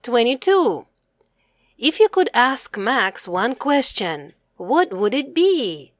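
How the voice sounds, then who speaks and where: A woman leads a children's quiz in a teacherly way, speaking very slowly and enunciating with care. Her voice sits a little high and moves with lively intonation between question and answer. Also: no echo, clean studio sound. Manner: slow, deliberate, carefully enunciated, teacherly. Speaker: children's read-aloud presenter and teacher.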